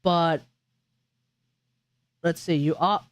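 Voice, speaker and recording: A man's voice: fairly high pitch, steady tone, drawn-out words, no echo. A man who streams games, giving casual, slangy commentary and bluntly thinking aloud as he reviews. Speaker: game streamer.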